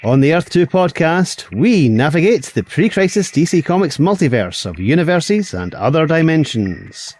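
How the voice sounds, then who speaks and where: Animated man reading a scripted promo. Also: fairly high pitch, normal speed, few pauses, a little hiss or hum. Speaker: podcast co-host. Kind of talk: scripted dramatic podcast promo.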